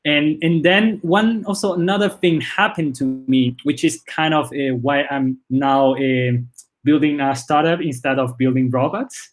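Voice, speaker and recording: A man in his twenties, born in China, with a Spanish accent and Chinese as his first language, speaks as a startup founder in a casual interview, halting often with repeated words and fillers. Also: on the high side, steady tone. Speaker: startup founder and CEO, guest.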